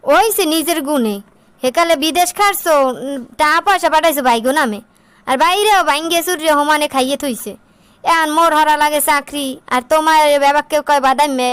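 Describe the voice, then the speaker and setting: Nagging cartoon wife played by a woman, high-voiced, Barisal-region Bangladeshi accent, weary and scolding. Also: close to an everyday voice. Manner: scolding, lamenting. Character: nagging, weary working wife of a jobless man. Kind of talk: comic cartoon dialogue in rural dialect.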